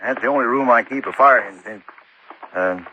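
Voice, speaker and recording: An actor playing an uneasy, defensive farmer with a rural American accent and a halting, homespun way of talking in an old radio drama. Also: male voice, mid-range voice, slight background noise, lightly acted. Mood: awkward, neighborly. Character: defensive, hesitant male farmer, a widowed murder suspect. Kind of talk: golden-age radio acting. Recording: muffled old-time radio recording.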